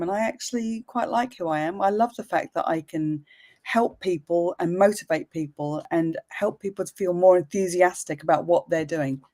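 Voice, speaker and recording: A woman's voice: fairly low pitch, mellow. A woman in her fifties, a business mentor speaking as a guest in a live video chat, frank and upbeat and ready to drop a casual swear. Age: 50s.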